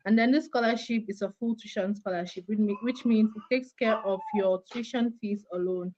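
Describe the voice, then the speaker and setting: A young woman from Cameroon, a graduate student, explaining things clearly and steadily as an interview guest over a call. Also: medium pitch, normal speed, a little mellow, dull audio, slight background noise.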